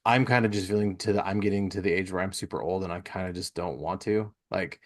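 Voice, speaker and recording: Male podcast host, middle-aged, low-pitched voice, loose conversational delivery, slangy and self-deprecating. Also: quick pace. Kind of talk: casual chat.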